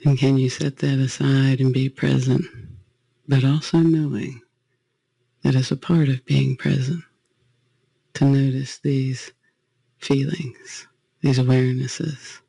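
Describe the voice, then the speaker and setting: Male guided-meditation leader, gravelly and close in a dry space, gentle spiritual delivery. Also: medium pitch, flat delivery, takes it slowly, pauses often, dry recording, poor audio.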